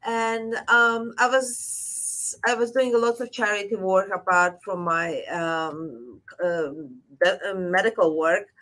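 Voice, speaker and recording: A woman from Latvia, a surgeon, speaking as an interview guest in a halting way, repeating words as she goes. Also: mid-range voice, steady tone, fairly bright tone, low-quality recording. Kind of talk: interview conversation.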